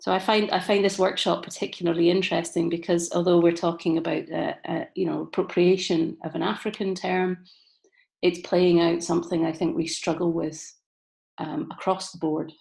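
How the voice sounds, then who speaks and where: A woman's voice: fairly low pitch, little pitch movement, moderate pace, vocal fry, a little mellow. A woman from southeastern Scotland with a Scottish accent, speaking thoughtfully in a workshop discussion over a video call and searching for her words. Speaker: workshop participant. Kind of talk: reflective discussion.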